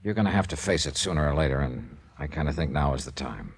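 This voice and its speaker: An American actor playing a tough, steady insurance investigator in a 1950s radio drama, with clipped, matter-of-fact delivery. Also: male voice, a bass voice, fast talker, slight background noise. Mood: grave, firm. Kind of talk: 1950s radio detective acting.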